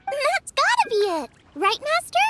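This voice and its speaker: An actress voicing a cheerful, eager young apprentice in a game who asks bright questions. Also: female voice, very high voice, wildly swooping pitch, measured pace, loud music behind the voice, full character voice. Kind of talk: video game cutscene voice acting.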